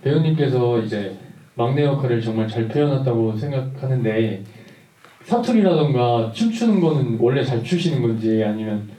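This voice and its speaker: A man's voice: medium pitch, lively intonation, normal speed, dark tone. A man in the audience politely and formally asking a question at a film Q&A. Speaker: audience member. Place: cinema hall at a festival Q&A. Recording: roomy, distant, faintly noisy.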